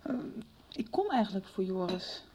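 A woman's voice: medium pitch, very animated, moderate pace, a little mellow, a little hiss or hum, lightly acted. A woman acting a worried, inquisitive young woman in a Dutch radio soap. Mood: tentative. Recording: dull radio recording with music behind.

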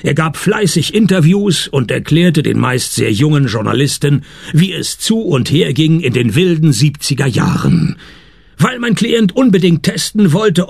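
A wry private detective narrating a radio drama. His creaky voice is fairly high and varies a lot in pitch, delivering sardonic wit. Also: heavily acted. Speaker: lead character and narrator. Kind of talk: German-language radio play narration and dialogue.

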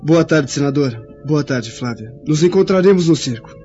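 A man's voice: on the high side, lively intonation, normal speed, mellow, highs cut off, faint music behind, lightly acted. A man playing a polite, slightly awkward young Roman family friend in a radio drama.

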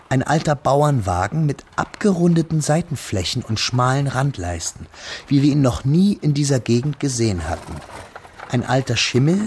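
A man playing a shy, earnest young Frenchman who narrates his memories in a reflective, measured, literary radio drama style. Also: mid-range voice, a little hiss or hum. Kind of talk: scripted first-person radio drama narration.

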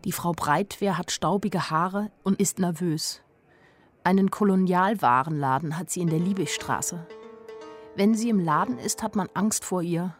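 An actress as a young woman narrating a radio play in the first person, with a lowish voice and music behind her. She is plain-spoken and wry, a naive girl who notices everything. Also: a little slow, lightly acted. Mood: wry, observant. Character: young woman, naive yet sharply observant storyteller.

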